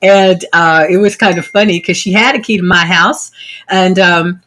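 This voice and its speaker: A woman from California hosting a friendly video-call conversation, chatty and quick to laugh. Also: fairly low pitch, fairly bright tone. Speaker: host. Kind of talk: casual video-call chat.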